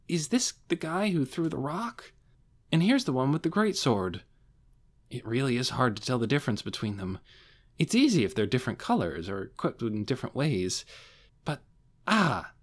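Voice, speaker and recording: A cautious male overlord, voiced by a man narrating in a fantasy audiobook. He sounds measured but hesitant, awkwardly keeping up the air of a wise ruler. His pitch moves around freely. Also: very animated. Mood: musing.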